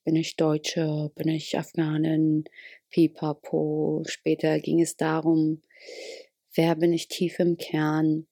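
A young woman hosts her podcast from her bed, speaking slowly in a relaxed, rambling way full of small hesitations. Her voice is low, dark and gravelly with fry. The sound is close and dry, with no room around it. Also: dry recording. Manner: relaxed, rambling, many fillers. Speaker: podcast host. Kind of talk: casual spontaneous monologue.

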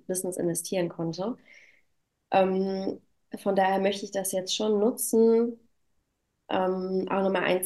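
A woman's voice: lowish voice, a little mellow. A woman in her thirties, a native German speaker, talking reflectively and casually as an interview guest, often adding little tag questions. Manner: reflective, filler-laden with frequent "ne" tags. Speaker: interview guest, self-employed business owner. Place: at home.

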